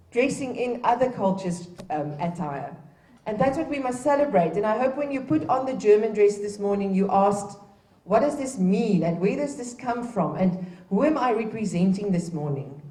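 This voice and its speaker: A woman's voice: fairly high pitch, animated, moderate pace, warm tone. Woman giving an echoey speech. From Namibia. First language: Afrikaans. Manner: earnest, exhorting, with restarts. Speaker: opening speaker at a heritage event. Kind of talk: public speech. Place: indoor event at a museum. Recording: echoey room, distant mic.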